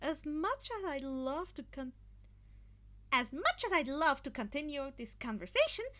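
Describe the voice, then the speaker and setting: A woman's voice: high voice, very animated, unhurried, a bit bright, pitched above the performer's own voice, heavily acted. A woman voicing a bossy, enthusiastic teenage girl with an emphatic manner in an amateur story reading. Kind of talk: amateur fan fiction audio reading.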